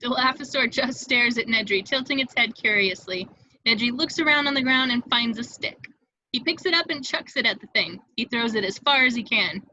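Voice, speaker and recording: A woman's voice: on the high side, expressive, a little fast, sharp tone, no room sound, dull audio. A woman reading scripted stage directions as the narrator of a table read, in a vivid storytelling manner.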